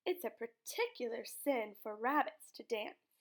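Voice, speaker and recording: A woman voicing a solemn, disapproving man in a hat who speaks slowly and gravely. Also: a little high, lively intonation.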